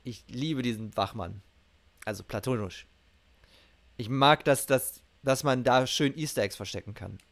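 A man's voice: medium pitch, a little slow, a bit bright. A middle-aged man doing let's play commentary and reading game dialogue aloud. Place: indoors at a streaming setup. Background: game music.

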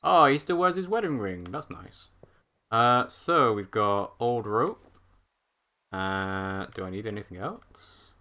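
A man commentating live on a game he plays, chatty and thinking out loud. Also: fairly low pitch, expressive, takes it slowly. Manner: chatty, thinks out loud, hums while walking. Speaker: streamer. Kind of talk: live let's-play commentary.

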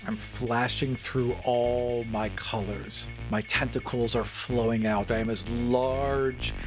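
A man's voice: medium pitch, fairly even intonation, slow, music in the background. A man describing his character's actions as a player in a tabletop game.

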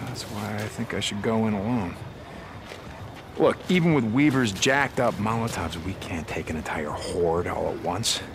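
An actor playing a gruff, guarded outlaw biker turned militia corporal in a video game, with an American accent. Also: male voice, on the low side, animated, normal speed, noisy background. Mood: determined. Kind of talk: video game cutscene acting. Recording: game cutscene with music behind.

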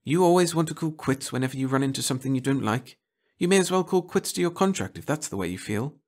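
A tough woman agent, read by a man in an audiobook story. She is dry and sardonic, scolding briskly and unimpressed. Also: mid-range voice, expressive, a little mellow, pitched above the performer's own voice, lightly acted.

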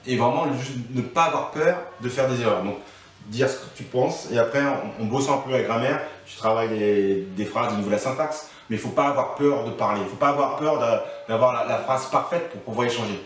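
A man's voice: mid-range voice, a little fast. Guy in his 30s chatting advice on a couch, lots of restarts, roomy echo. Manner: thoughtful, many restarts and fillers. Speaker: interview guest. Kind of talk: casual advice interview. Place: living room, on a couch. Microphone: far camera mic. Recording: echoey room, from a distance.

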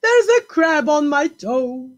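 A woman's voice: high-pitched, sing-song, takes it slowly, voice pushed up, heavily acted. A woman voicing a kindly, huge male giant in an emotive, exclaiming storybook manner. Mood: sobbing, pleading.

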